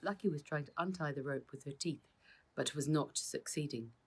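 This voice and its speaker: A woman narrating her own children's storybook in a measured, expressive read-aloud manner. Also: low-pitched, slightly rough. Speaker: author reading own book.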